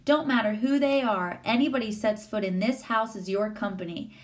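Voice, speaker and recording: A woman's voice: mid-range voice, moderate pace, natural voice. Woman acting a stern, scolding Southern housekeeper. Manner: scolding, folksy dialect.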